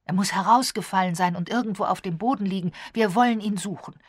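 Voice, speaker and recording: A woman's voice: on the low side, a bit bright, husky, no room sound, studio quality. A woman voicing an agitated, disbelieving man in a storybook, speaking urgently and exclaiming. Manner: urgent, exclaiming, halting repetitions.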